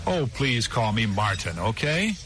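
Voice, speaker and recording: A man acting a friendly news reporter in a scripted lesson dialogue, speaking clearly and patiently. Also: mid-range voice, very animated, a little slow, background noise.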